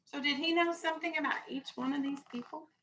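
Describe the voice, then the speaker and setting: A woman teacher reading a storybook aloud to young children, animated, stopping to explain and ask questions. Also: high-pitched, brisk. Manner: animated, explains and checks understanding. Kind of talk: expressive children's read-aloud with questions to the class.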